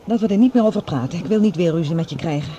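A woman acting as a self-possessed, guarded woman in a radio drama. Her voice is soft-edged and dark, her manner cool but civil. There is faint noise behind her. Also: on the low side, lively intonation, very fast, lightly acted. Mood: guarded, firm. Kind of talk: Dutch radio play acting.

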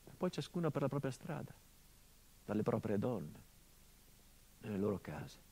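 A man's voice: fairly low pitch, sing-song, moderate pace, mellow, breathy edge, exaggerated voice. Brooding man in a monologue. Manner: intimate and brooding, swelling into anguished outbursts. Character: brooding middle-aged son haunted by his father's murder. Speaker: stage actor. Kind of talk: theatrical radio monologue.

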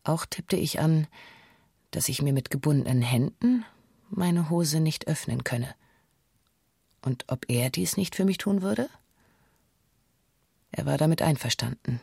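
A woman's voice: low voice, a little slow, vocal fry, long pauses, warm tone, breathy edge, no echo, clean studio sound, exaggerated voice. An actress playing a female bank hostage who gives a formal, matter-of-fact witness statement in a documentary radio drama. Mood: sober recounting.

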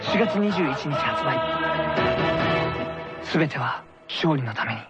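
A man's voice: on the high side, expressive, measured pace. A man reading scripted promotional narration as an advert announcer. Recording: music playing behind the voice.

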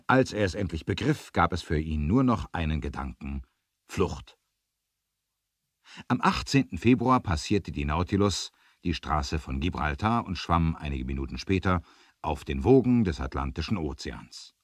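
Low-voiced male storyteller, dry studio sound. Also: expressive, moderate pace, no echo. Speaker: narrator. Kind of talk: scripted adventure radio play narration.

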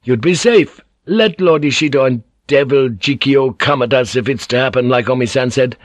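A man's voice: mid-range voice, moderate pace, dry recording, voice pushed up, natural voice. A man voicing a weathered one-eyed samurai soldier who speaks plainly and bluntly.

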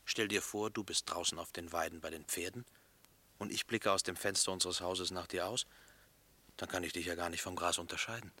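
An actor playing a brooding, dreamy lover in a German radio play, intimate and lyrical, often close to a whisper. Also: male voice, low voice, steady tone, a little fast, pushed and bright. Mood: tender, quiet. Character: brooding, dreamy male lover speaking in dark poetic images. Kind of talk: German radio play acting, poetic and hushed.

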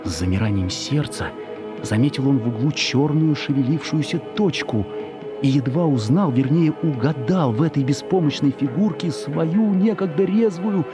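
A man narrating a children's story in an expressive, measured, suspenseful dramatic reading. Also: on the high side, over music.

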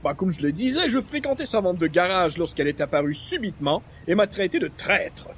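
Homme jouant un personnage comique à voix aiguë. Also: male voice, sits high, lively intonation, a little fast, slight background noise. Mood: puzzled. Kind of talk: old-time radio comedy acting.

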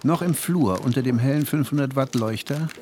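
A man's voice: mid-range voice, darkish tone, a little hiss or hum, lightly acted. An actor playing an anxious, introspective married man who tells his own story in a radio drama. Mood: sober, resigned. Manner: introspective, switches from measured narration to agitated pleading. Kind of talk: radio drama narration and dialogue.

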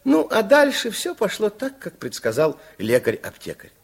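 An actor playing an expressive, kindly storyteller, narrating a children's fairytale radio play of the 1960s in a theatrical manner. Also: male voice, high voice, moderate pace, vocal fry, slightly rough, exaggerated voice.

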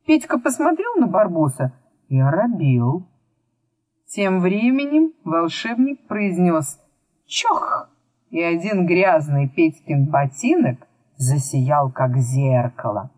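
A woman narrating a children's book as scripted, expressive storytelling. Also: lowish voice, slow pace, no room sound. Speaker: audiobook narrator.

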